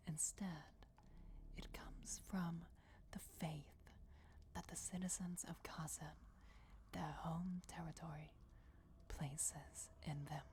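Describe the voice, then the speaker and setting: A solemn, commanding vampire goddess, acted by a woman whispers in a low, soft, mellow voice for a fantasy ASMR roleplay. She speaks very slowly and deliberately, with long dramatic pauses, ruthless yet motherly. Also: slight background noise. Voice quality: breathy, whispered. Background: crypt ambience.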